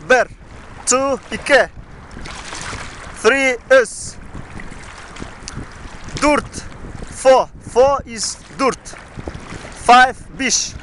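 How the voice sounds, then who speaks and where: A man's voice: very high-pitched, fairly bright tone, slight background noise. A man, teaching a casual outdoor language lesson on a boat, patiently repeating words. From Bashkortostan. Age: 30s. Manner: patient, repetitive, drills words twice. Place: outdoors on a boat on a wide river. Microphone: camera mic, selfie framing at arm's length.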